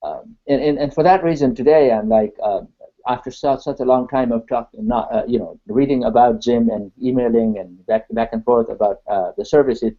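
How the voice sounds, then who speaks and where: Mellow man, earnest, stacks his points in lists, muffled call audio. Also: medium pitch, gravelly. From Nepal. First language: Nepali. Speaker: discussion participant. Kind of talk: online video call discussion. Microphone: video call.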